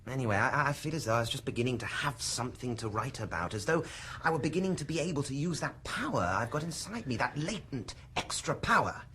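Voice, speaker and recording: A man's voice: mid-range voice, lively intonation, fast talker, hardly any pauses, sharp tone, a little hiss or hum. An actor playing a thoughtful, self-mocking young male lecturer and writer who hesitates as he talks, in a radio drama.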